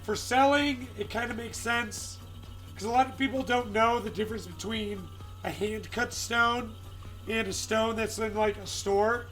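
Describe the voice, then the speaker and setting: High-voiced raspy man streaming, slow. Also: fairly bright tone, faint music behind, poor audio. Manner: loose, searching for words, greets chat by name. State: raspy, strained voice. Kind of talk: casual live-stream chat.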